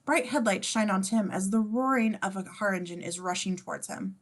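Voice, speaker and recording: Woman, mid-pitched, scene-description narrator, informal, playful, casual asides. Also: brisk. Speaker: stage-direction reader.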